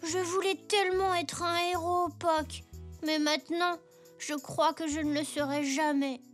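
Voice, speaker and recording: A performer voicing an eager little boy zebra playing superhero in a children's cartoon, earnest and expressive. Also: extremely high pitch, unhurried, faint music behind. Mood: disappointed. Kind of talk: French children's cartoon dubbing.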